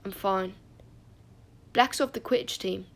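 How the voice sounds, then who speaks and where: A woman acts out a wounded teenage schoolboy in a storybook. He is surly and bitter, speaking in clipped, curt phrases with a gravelly creak in the voice. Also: medium pitch, a little slow. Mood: sullen. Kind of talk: acted audiobook dialogue.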